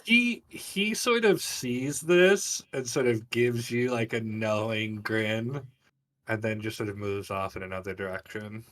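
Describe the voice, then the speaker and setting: A man improvising narration as game master of a tabletop game, casual and halting. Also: fairly low pitch, fairly bright tone.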